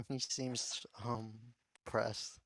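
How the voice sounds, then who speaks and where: A man's voice: medium pitch, level tone, extremely slow, creaky, a bit bright. A man chatting on a friend's game stream, tossing off dry, offhand quips. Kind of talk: casual gaming chat.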